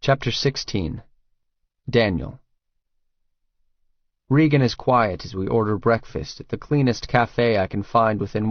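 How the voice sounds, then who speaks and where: A man's voice: fairly low pitch, dry recording, muffled recording. A man reading first-person romance fiction as an audiobook narrator, in a scripted storytelling style.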